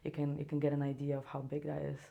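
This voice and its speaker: A young woman from Poland speaks English with a Polish accent and a notably low voice. She talks in a laid-back, unhurried way. She laughs easily and lets her sentences trail off. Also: creaky, highs cut off. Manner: relaxed, laughs often, trails off mid-sentence. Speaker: Muay Thai fighter, guest. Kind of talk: casual interview chat. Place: studio.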